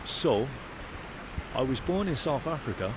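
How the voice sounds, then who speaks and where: Man vlogging outdoors in loud noise. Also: medium pitch, sing-song, unhurried. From South Wales. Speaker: vlogger, artist explorer. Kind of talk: casual chat to camera. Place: outdoors in rainy, windy hills.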